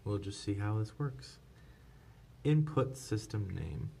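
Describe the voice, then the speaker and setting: Male tutorial presenter, fairly low voice, informal walk-through delivery, light noise behind. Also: lively intonation, takes it slowly, warm tone. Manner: informal, unscripted step-by-step explaining. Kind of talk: casual hands-on tutorial narration. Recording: clear background noise.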